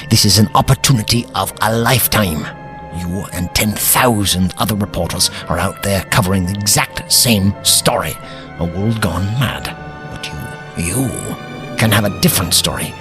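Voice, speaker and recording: Guy acting proud old eccentric time traveller, low voice that swings all over, formal and forgetful, audio drama. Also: lively intonation, moderate pace, over music. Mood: urgent, persuasive.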